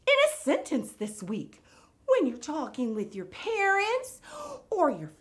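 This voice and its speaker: Casting: a kids' show word teacher, zany and excitable, high voice with wild swoops. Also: female voice, unhurried, exaggerated voice. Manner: exaggerated, theatrical, playful.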